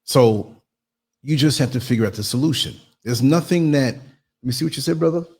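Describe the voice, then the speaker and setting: Husky-voiced man in his late fifties. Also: mid-range voice, brisk, darkish tone. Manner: emphatic, repeats phrases for stress. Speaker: livestream host and podcaster. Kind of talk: informal motivational monologue to livestream chat.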